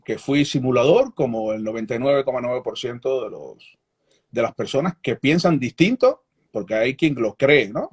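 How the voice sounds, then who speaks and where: Husky-voiced Cuban man, measured. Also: medium pitch, darkish tone. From Cuba. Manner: frequent restarts, measured and candid. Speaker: journalist guest. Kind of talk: reflective interview answers.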